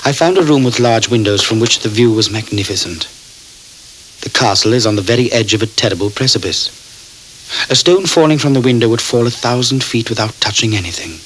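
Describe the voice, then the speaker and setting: A man's voice: expressive, a little fast, a bit bright, a little hiss or hum, close to an everyday voice, rough recording. An actor playing an anxious, educated young Englishman held prisoner, speaking formally and precisely in a radio drama. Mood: uneasy, reflective. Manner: precise, formal, highly strung. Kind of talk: radio drama acting, first-person journal narration.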